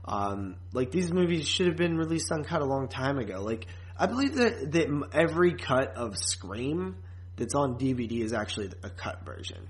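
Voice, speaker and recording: A man co-hosting a podcast in a casual, chatty way, often pausing and repeating words as he thinks. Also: medium pitch, sing-song. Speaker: podcast co-host.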